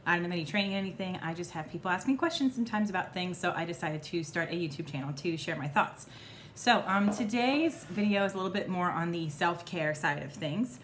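A woman in her thirties chatting casually to camera in her home kitchen, her voice still slightly hoarse as it recovers, rambling with lots of fillers. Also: lowish voice, a little fast, a little hiss or hum. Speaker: vlogger. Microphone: phone or camera at arm's length.

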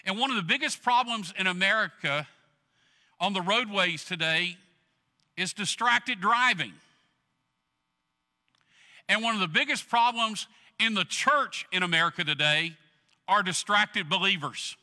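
A middle-aged man preaching a sermon from a church stage, earnestly swelling loud, then dropping low. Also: measured pace, long pauses, long reverb tail. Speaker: pastor.

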